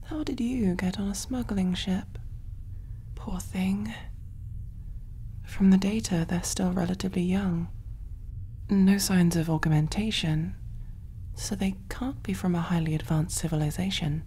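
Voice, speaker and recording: A woman voicing a kind, calm alien medic in a soft, breathy, reassuring ASMR roleplay style. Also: lowish voice, measured pace, pauses often, slight background noise. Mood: gentle, clinical. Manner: gentle, hushed, reassuring, often near whisper.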